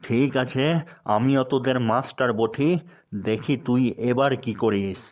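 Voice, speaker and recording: A man's voice: medium pitch, steady tone, unhurried, a little mellow, close to an everyday voice. A man voicing a sarcastic, scolding schoolteacher in a cartoon. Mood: exasperated. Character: exasperated middle-aged male village schoolteacher. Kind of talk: cartoon voice acting.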